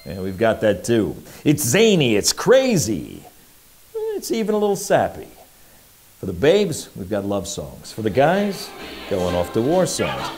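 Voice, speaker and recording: An actor playing a slick, wisecracking 1940s radio private eye, pitching in brash, slangy tough-guy patter. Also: huge pitch swings, a little slow, a little mellow, heavily acted. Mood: brash, teasing. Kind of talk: hard-boiled promo narration in old-time radio style. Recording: music under the voice. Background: music.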